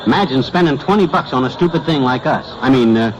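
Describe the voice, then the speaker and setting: An actor playing a grumbling, blustery American husband, folksy and slangy, in an old-time radio comedy. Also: male voice, mid-range voice, animated, quick pace, a crowd in the background, lightly acted. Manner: grouchy, folksy, slangy wisecracking. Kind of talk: old-time radio comedy acting before a live audience. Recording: dull, noisy old radio recording, audience.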